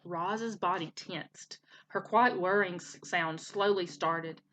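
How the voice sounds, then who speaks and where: A woman's voice: on the low side, takes it slowly, fairly bright tone, slight background noise. A woman narrating a children's storybook expressively, like a teacher reading aloud.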